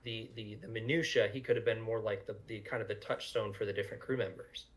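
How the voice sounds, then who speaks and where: A man in an informal group commentary, talking a little haltingly and repeating words while he thinks. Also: on the low side, fairly even intonation, fairly bright tone. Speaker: panelist in a cast and crew commentary. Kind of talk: casual group discussion.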